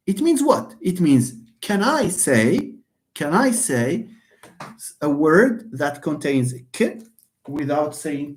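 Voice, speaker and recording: Emphatic lecturer with a lively voice. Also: mid-range voice. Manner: emphatic, repeats phrases, addresses students directly. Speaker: university lecturer. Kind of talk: live online lecture.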